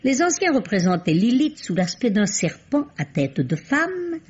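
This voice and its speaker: An actress playing a learned, drily teasing sidekick to a police commissioner in an old radio mystery. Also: female voice, on the high side, big pitch swings, unhurried, darkish tone, slightly rough, exaggerated voice. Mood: teasing, lecturing. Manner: drily teasing, erudite, superior. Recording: dull vintage radio drama recording.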